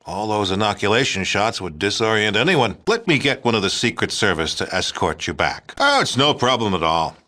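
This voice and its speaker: Pompous, bright-voiced American politician. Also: male voice, lowish voice, big pitch swings, a little fast, hardly any pauses. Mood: soothing, dismissive. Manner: pompous, declamatory, hesitant stammering when cornered. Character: pompous, folksy, smug middle-aged male politician, president. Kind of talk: video game dialogue acting.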